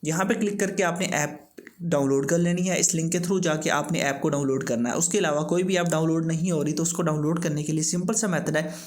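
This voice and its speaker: A man's voice: a little high, fast talker. A man hosting a tech tips tutorial, giving step-by-step instructions. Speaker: host of a tech tips channel. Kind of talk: scripted-sounding tutorial narration for a video.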